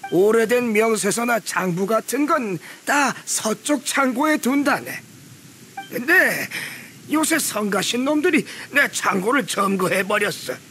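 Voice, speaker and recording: An elderly village carpenter from a video game, gruff yet good-humored. His voice is strikingly high and forcefully bright, with blunt, folksy phrasing. Faint noise sits behind him. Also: full character voice. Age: older. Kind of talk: video game character acting.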